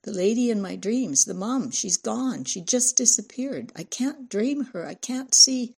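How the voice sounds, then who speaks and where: A woman's voice: lowish voice, normal speed, creaky, a little mellow, husky. A woman voicing an earnest, aggrieved little girl with a childlike, complaining manner. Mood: distressed, plaintive.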